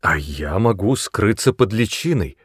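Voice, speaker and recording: A man voicing an eager young male magician's apprentice in an audiobook, excitable, earnest and quick to protest. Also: fairly low pitch, breathy edge, no echo, studio quality, exaggerated voice.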